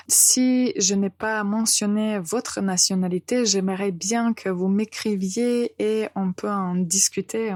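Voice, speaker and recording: A woman from Serbia hosting a French lesson podcast, slowly and carefully modelling word pronunciations for learners. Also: mid-range voice, a little mellow, dry recording, clean studio sound. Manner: slow, careful pronunciation drills. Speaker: French teacher, podcast host.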